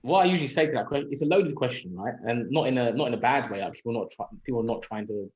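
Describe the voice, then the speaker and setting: Roomy, low-voiced young London man. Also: expressive, rapid-fire, a little mellow, muffled recording, slight background noise. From London, England. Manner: thinks aloud, poses questions to himself. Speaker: podcast speaker. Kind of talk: casual reflective podcast chat. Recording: roomy echo on the voice.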